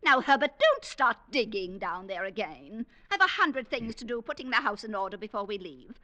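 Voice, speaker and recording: Scolding middle-aged wife in an old 40s radio play, high voice that swoops around, imperious and nagging. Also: female voice, animated, normal speed, bright, forceful tone, no echo. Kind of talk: 1940s radio drama acting. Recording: vintage 1940s radio recording.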